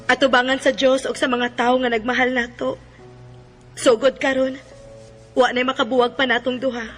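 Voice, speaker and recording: A woman's voice: high-pitched, little pitch movement, normal speed, faint music behind, lightly acted. A woman with a Cebuano accent acting a devoted, melodramatic wife and mother in a Bisaya radio drama. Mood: tender, loving. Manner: emotional, melodramatic. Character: devoted wife and mother, explosive when betrayed.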